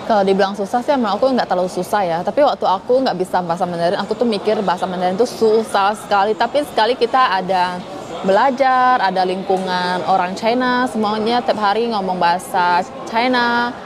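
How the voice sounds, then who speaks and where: A woman's voice: mid-range voice, a little fast, a crowd in the background. A young woman from Kalimantan with a Hakka-influenced Indonesian accent, chatting casually with viewers on a live stream. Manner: chatty, addresses viewers as friends.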